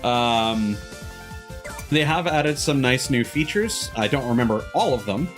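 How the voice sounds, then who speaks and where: A man's voice: medium pitch, lively intonation, unhurried. A man chatting casually as he streams a game, rambling and self-deprecating, with some swearing. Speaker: live streamer. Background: cartoonish upbeat game music.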